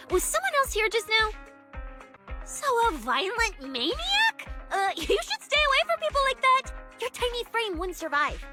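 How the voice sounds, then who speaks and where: A woman playing a cheeky, food-loving young snake-woman security officer in a video game, playful and expressive, secretly caring. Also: high voice, exaggerated voice. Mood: worried scolding.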